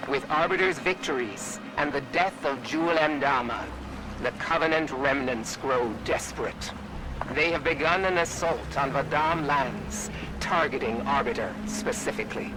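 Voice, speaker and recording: A man's voice: medium pitch, measured pace, lightly acted. An actor playing a proud male alien warrior commander in a video game, speaking in a formal, declamatory way. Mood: grave, formal. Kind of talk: video game cutscene acting. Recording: clear vehicle and outdoor noise behind.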